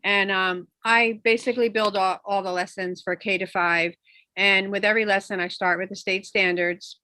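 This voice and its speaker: A woman's voice: medium pitch, fairly even intonation, moderate pace, sharp tone, no room sound. A woman who works as an elementary school specialist, casually and emphatically sharing her experience in an online discussion. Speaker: elementary social studies curriculum specialist.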